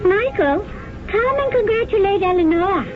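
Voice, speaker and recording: An actress playing a cool, measured woman from a secret society. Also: extremely high pitch, expressive, slight background noise. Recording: tinny old radio broadcast with music.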